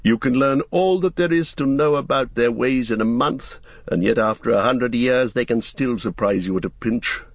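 A man voicing a wise old male wizard in a storybook, stern but fond, with an emphatic, commanding, deliberate manner. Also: medium pitch, no echo, voice pushed up, lightly acted. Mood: grave, fond.

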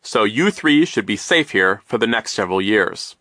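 A fussy, self-satisfied banker from a storybook, acted out by a male narrator. He speaks loudly and heartily, leaning on his words with pompous assurance, blithely sure of himself. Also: lowish voice, lively intonation, moderate pace, dry recording, studio quality. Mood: self-satisfied, reassuring. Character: pompous, oblivious, fussy grown banker man. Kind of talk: audiobook character voice.

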